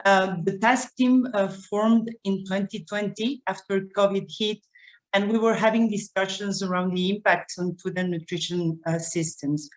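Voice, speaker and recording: A woman's voice: medium pitch, level tone, normal speed, poor audio. A woman from Uruguay giving a prepared presentation as an expert at an online event. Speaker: task team member, sustainable food systems expert.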